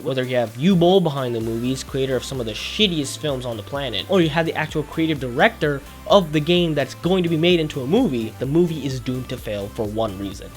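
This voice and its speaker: Animated, emphatic man giving video commentary. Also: a little high. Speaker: YouTube host. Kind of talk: opinionated video essay commentary.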